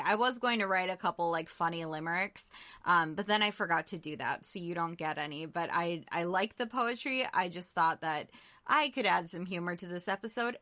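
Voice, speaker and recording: A woman's voice: lowish voice, pushed and bright. A woman co-hosting a podcast, casually recapping a movie in an irreverent, jokey, sweary way with self-deprecating humor. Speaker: podcast co-host.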